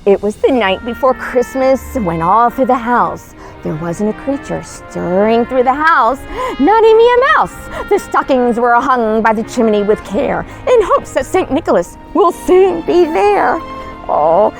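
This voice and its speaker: A woman plays a kindly Christmas storyteller with a high, very lively voice. She reads a storybook to children full of wonder and exclamation, with music playing behind her. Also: unhurried, poor audio. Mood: wondering, excited. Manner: exclamatory, wondering, gasps and oohs. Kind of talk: animated storybook reading to children.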